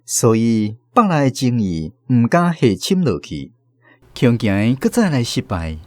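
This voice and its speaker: A man's voice: mid-range voice, big pitch swings, a little slow, no echo. A man with a Taiwanese accent reading scripted story narration for a radio drama.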